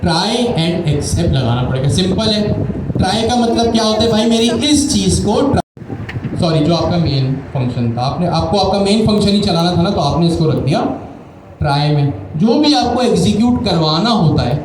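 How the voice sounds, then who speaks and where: A man's voice: sits high, animated, moderate pace. A man teaching a live coding class, explaining step by step, quizzing students and repeating key terms. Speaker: coding instructor. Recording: very echoey room with clear background noise.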